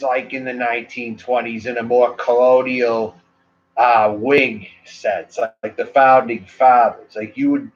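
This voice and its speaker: A man with a Maine accent rambles casually to his livestream viewers, drifting from thought to thought with plenty of "like" and "you know." His voice sits at an ordinary middle pitch. The audio is dull and muffled, like a cheap stream mic. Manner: meandering, loose, full of "like" and "you know". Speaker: cigar reviewer and livestream host.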